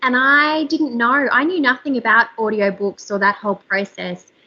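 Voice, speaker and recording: Author in her thirties, woman, bright squeaky-edged voice, candid chatter, muffled call audio. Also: fairly even intonation, moderate pace. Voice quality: slightly squeaky voice. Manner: chatty, candid, frequent fillers. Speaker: author and journalist. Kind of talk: casual interview chat. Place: home room. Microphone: video call. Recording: slightly dull video call audio.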